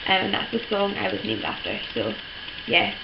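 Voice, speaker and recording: A teenage girl, hoarse from a cold, rambling through a casual vlog with lots of ums and likes. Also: mid-range voice, moderate pace. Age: teens. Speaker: vlogger. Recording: dull lo-fi with steady background noise.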